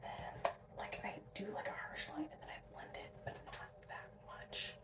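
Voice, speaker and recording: A sleep-deprived young woman from Alberta, rambling in a soft whisper with asides and little laughs as she does her drag makeup. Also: normal speed. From Alberta, Canada. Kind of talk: casual whispered makeup tutorial. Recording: roomy, mic set at a distance.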